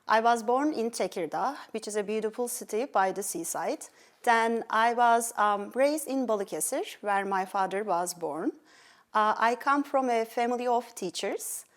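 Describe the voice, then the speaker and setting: A middle-aged woman from Turkey with a Turkish accent, earnestly telling her life story as an interview guest. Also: medium pitch. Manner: earnest, with fillers and restarts. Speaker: pediatric endocrinologist guest.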